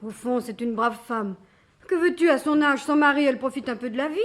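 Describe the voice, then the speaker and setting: An actress as a young waitress in a radio play, gentle and tolerant. Her voice is high. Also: a little fast, natural voice. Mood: calm, forgiving.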